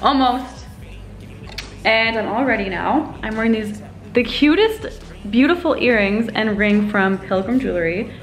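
A woman's voice: fairly high pitch, animated, a little slow, reverberant. A young woman in her twenties chatting casually to a handheld camera in her apartment, bubbly and giggly. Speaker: vlogger. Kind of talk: casual vlog chat to camera. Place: apartment and friend's kitchen, indoors. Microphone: handheld camera at arm's length. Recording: loud music and chatter behind.

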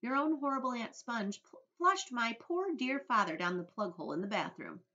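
A woman's voice: a little high, lively intonation, normal speed, pushed and bright, voice pushed up. A woman voicing a dignified, sorrowful female spider who speaks plaintively with sighs. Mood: mournful. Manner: sighing, plaintive. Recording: dull lo-fi audio.

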